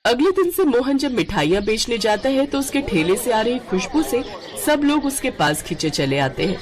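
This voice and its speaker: A woman narrating a children's moral story in an expressive scripted storytelling style. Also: on the high side, normal speed, a faint crowd.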